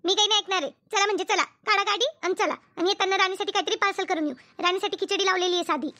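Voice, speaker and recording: A woman's voice: extremely high pitch, fairly even intonation, racing, bright, forceful tone. A woman voicing a greedy, stubborn, whiny village housewife in a comedy cartoon, with a rural Maharashtrian Marathi accent. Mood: insistent, sulky. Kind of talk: exaggerated comic cartoon dialogue.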